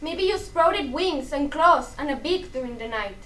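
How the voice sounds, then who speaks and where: A woman's voice: high voice, expressive, moderate pace, a bit bright, far from the mic, slight background noise. A young woman in a staged play reading, playing a fearful, questioning girl who talks with her grandmother. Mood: wistful. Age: young adult. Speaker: student actor in a dramatic reading.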